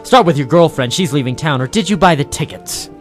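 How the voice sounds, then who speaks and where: An actor playing a tough, cynical dockside private eye in 1940s radio noir style, deadpan and hard-boiled. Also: male voice, fairly high pitch, brisk, close to an everyday voice. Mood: tough, pressing. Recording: vintage 1940s radio recording with music.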